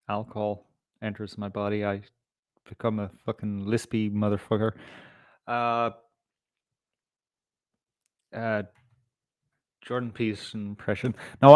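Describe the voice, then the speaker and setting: Sweary male livestream host, slow and dry-sounding. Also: lowish voice, no echo. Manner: rambling, sweary. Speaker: show host. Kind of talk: casual livestream commentary.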